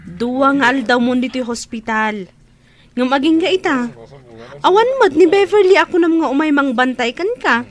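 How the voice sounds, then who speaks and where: A woman's voice: sits high, expressive, normal speed. A woman playing a worried, scolding family member, urgent and reproachful, in a radio drama. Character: worried, scolding female relative of a village family.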